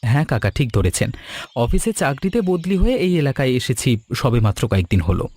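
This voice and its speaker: A man playing a polite young office worker new in town, in an animated storybook cartoon, speaking a little plaintively. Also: medium pitch, brisk, slightly rough. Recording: music bed under the voice.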